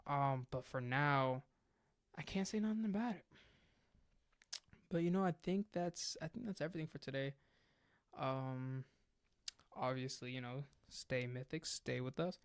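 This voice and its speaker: A man's voice: mid-range voice, unhurried, long pauses, clean tone, dry recording, clean studio sound. A young man in his twenties hosting a podcast alone, chatting casually and jokingly with lots of fillers. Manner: chatty, rambling, jokey, with many fillers. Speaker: podcast host. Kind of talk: casual solo podcast chat.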